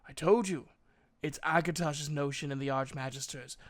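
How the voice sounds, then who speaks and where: Guy doing a sardonic male elf, proud n bossy, quick temper, fantasy audiobook, voice a bit high. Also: lightly acted. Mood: wry. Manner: sardonic, commanding.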